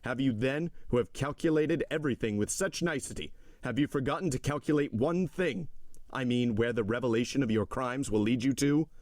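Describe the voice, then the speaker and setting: A man's voice: lowish voice, normal speed, a little mellow, no echo, lightly acted. A man voicing a stern, imperious magistrate in an audiobook drama, coldly accusing his wife with harsh, contemptuous command. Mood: contemptuous, rising anger. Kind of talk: dramatic audiobook character reading.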